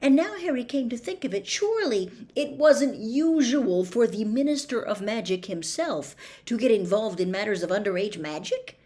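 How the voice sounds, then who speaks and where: Animated woman narrating a storybook. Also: a little high, moderate pace, hardly any pauses. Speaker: audiobook narrator. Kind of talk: expressive scripted storybook narration.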